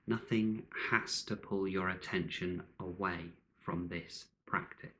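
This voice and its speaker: A man leading a guided meditation in calm, measured short phrases with long pauses between them. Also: sits low.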